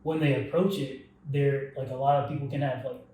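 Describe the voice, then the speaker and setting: A man's voice: medium pitch, fairly even intonation, a little fast, warm tone. A young man co-hosting a casual podcast, talking in a rambling way with many restarts and "like" fillers. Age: young adult. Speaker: podcast co-host. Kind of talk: casual chat. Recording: very echoey room, distant from mic.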